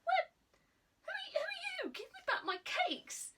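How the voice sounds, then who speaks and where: A woman voicing an earnest, proper schoolboy who talks primly and turns shrill when he protests. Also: wildly swooping pitch, unhurried, distant mic, noisy background, heavily acted. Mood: indignant. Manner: prim and self-important, rising to shrill protest.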